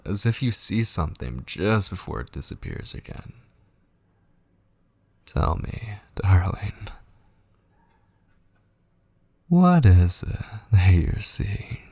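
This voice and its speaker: Male actor as possessive kidnapper lover, low gravelly voice, coaxing and menacing, intimate ASMR roleplay, breathy whispers, close mic. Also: a little mellow, no room sound, full character voice. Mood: probing, soothing. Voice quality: husky, breathy, often dropping into whispers. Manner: slow, coaxing, possessive endearments. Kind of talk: intimate ASMR roleplay, scripted dramatic monologue. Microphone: close studio mic, dry.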